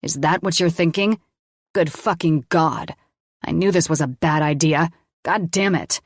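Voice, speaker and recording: A woman voicing a heated, wounded young male doctor who growls and swears in a lowered voice. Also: a little slow, no echo, clean studio sound. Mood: furious.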